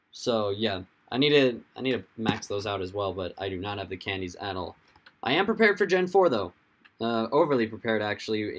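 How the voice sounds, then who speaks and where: A young man with a hoarse, fading voice, hosting a casual livestream, rambling and answering viewers' chat comments as they come in. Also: on the low side. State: hoarse, losing his voice. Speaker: livestream host.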